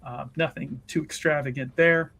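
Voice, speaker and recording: A man's voice: fairly high pitch, steady tone, normal speed, fairly bright tone. A man playing a tabletop roleplaying game, improvising narration for his character in a casual, wry, conversational way.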